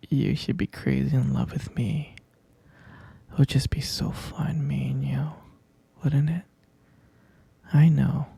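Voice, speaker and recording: A hushed, heavily creaky murmur from a possessive kidnapper boyfriend acted by a man in an intimate ASMR roleplay. The delivery is slow and breathy, coaxing a captive lover with syrupy tenderness and obsessive devotion. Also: medium pitch, no echo, exaggerated voice. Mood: defensive, infatuated. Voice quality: breathy, fry-laden murmur. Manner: slow, coaxing, saccharine pet names.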